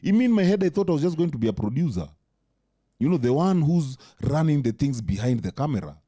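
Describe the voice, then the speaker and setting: Animated man with a big, dark voice. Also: fairly high pitch, fast talker, slightly rough. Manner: animated, swears freely, slips into Swahili words. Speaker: podcast co-host. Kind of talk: casual podcast chat.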